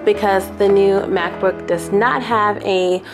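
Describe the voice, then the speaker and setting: A young woman vlogging an unboxing in a small living room, chatty and excitable, a little sniffly from a cold. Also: mid-range voice, takes it slowly, music in the background. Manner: chatty and excitable, addresses viewers as y'all. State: sniffly, getting over a cold. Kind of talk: casual unboxing vlog.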